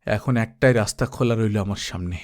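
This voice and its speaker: A man voicing a proud, vengeful young Aztec prince, speaking low and resolute. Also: a little fast, lightly acted. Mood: bitter resolve.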